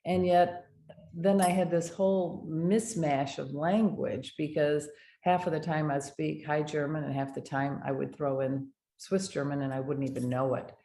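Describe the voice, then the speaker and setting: An older American woman, a dental hygienist, telling animated stories in a casual interview. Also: sits low, normal speed. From United States. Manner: animated anecdotes with laughter. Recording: echoey room, faint noise.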